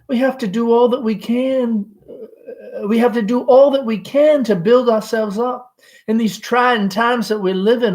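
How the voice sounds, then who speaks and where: A woman's voice: a little high, a little mellow, pitched above the performer's own voice, close to an everyday voice. A reader voicing an anxious, dramatic middle-aged mother in a fluttery, stammering, pleading manner, from a stage play. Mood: fussing, pleading.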